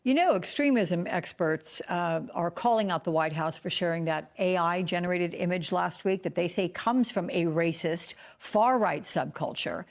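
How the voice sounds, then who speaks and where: A woman's voice: fairly low pitch, normal speed, few pauses. A woman anchoring a news broadcast, putting questions to a guest in a presenter's style.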